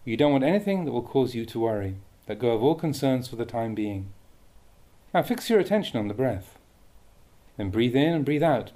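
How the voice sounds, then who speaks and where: A man reading a Buddhist meditation teaching aloud with measured, deliberate phrasing. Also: mid-range voice, very animated, warm tone. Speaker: Buddhist monk reading a translated dhamma talk.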